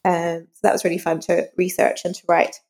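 A woman's voice: fairly low pitch, completely flat delivery, brisk, darkish tone, big-room sound. A woman talking conversationally as a guest author in an interview.

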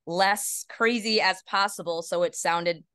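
A woman's voice: on the low side, measured pace, a bit bright, smooth, no room sound, studio quality. A woman speaking as an interview guest, giving earnest, upbeat answers in a conversational way. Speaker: podcast guest, PA announcer and voice actor.

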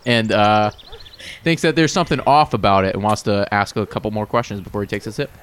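A man's voice: lowish voice, fast talker, a bit bright. A man narrating an improv comedy scene in a joking manner. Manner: joking, laughs while talking.

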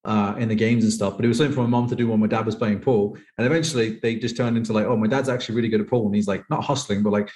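Fast-talking man from the UK, thirties. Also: fairly low pitch, steady tone, darkish tone. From United Kingdom. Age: 30s. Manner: rambling, heavy use of "like". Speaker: professional poker player guest. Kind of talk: casual interview chat. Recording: echoey room.

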